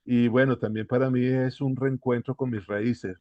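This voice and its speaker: A man's voice: medium pitch, steady tone, normal speed, no room sound. Guest speaker, man from Spain, earnest explainer, muffled dry line. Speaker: guest researcher and therapist. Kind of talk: explanatory interview talk.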